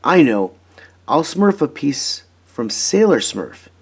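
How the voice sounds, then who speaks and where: A man voicing an eager, stubborn little inventor creature from a children's storybook, with an excited, exclamatory manner. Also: a little high, takes it slowly, breathy edge, full character voice. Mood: determined.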